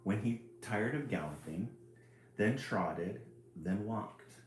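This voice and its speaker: A man hosting a casual, expressive storybook read-aloud and adding his own chatty asides. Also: lowish voice, slow. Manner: chuckling, expressive. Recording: roomy echo, some background noise.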